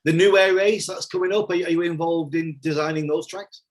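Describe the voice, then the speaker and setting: A man's voice: a little high, fairly even intonation, brisk. A man from Greater Manchester hosting an interview, friendly and affirming, asking short questions. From Greater Manchester, England. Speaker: interview host.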